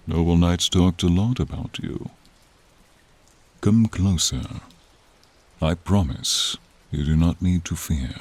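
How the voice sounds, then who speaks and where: Man voicing a very husky, deep noble dragon. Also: exaggerated voice. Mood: welcoming, stately. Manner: slow, archaic, solemn, addressing listener directly. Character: noble friendly male silver dragon, devoted and courtly. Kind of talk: scripted fantasy ASMR roleplay. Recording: rain sound effects behind the voice.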